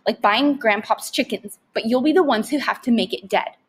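A woman's voice: fairly high pitch, normal speed, slightly rough. A woman reading a worried, disapproving storybook mother with a firm, urgent manner. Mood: urgent concern. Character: worried, disapproving mother protecting her boys.

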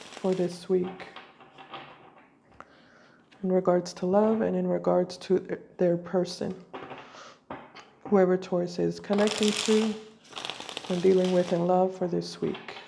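A woman reading tarot cards for her viewers while fighting a cold, speaking slowly and deliberately at her table at home. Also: fairly low pitch, flat delivery, gravelly, mellow, a little hiss or hum. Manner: slow, deliberate, intuitive. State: has a cold. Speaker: tarot reader.

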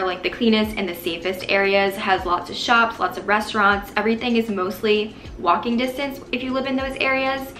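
A woman's voice: medium pitch, a bit bright. Chatty young woman in an echoey room. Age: 20s. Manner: chatty, many likes and ums, laughs at herself. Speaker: vlogger. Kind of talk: casual Q and A chat to camera. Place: small bedroom indoors.

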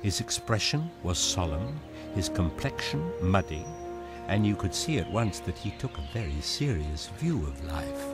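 An actor playing a grave, measured storyteller, narrating an audio drama. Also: male voice, deep voice, very animated. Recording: loud music behind narration.

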